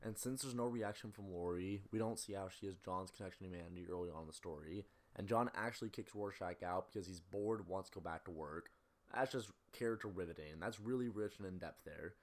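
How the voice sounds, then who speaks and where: A man's voice: low voice, brisk. A man giving scripted film commentary as a video essayist, in a critical, opinionated manner.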